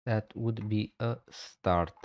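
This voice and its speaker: Relaxed man streaming live. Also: lowish voice, slow pace, no echo, muffled recording. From Romania. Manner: relaxed, hesitant, many fillers. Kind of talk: casual live stream chat.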